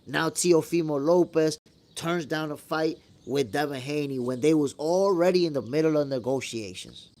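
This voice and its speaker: Higher-pitched New York man talking boxing. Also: moderate pace, darkish tone. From New York, after moving from the Dominican Republic at eight. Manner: conversational and slangy, addressing viewers as brother. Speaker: boxing show host. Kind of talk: casual live-stream commentary, answering viewer comments.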